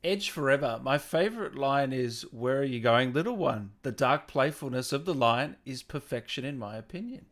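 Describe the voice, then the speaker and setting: Male livestream interview host. Medium pitch, animated intonation, casual chat and comment reading. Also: moderate pace, hardly any pauses.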